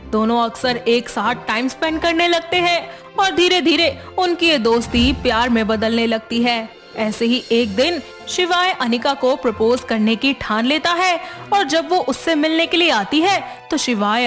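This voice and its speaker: A woman delivers scripted narration for a children's moral story. Her voice is fairly high. Faint music plays beneath the narration. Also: expressive, a little slow, hardly any pauses, fairly bright tone.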